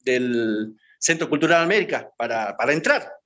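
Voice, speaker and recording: A man's voice: mid-range voice, animated, moderate pace, bright, forceful tone, muffled recording. A man, answering interview questions and reminiscing in a rambling way, with many hesitations and filler words. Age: 50s. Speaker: guest. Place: his home.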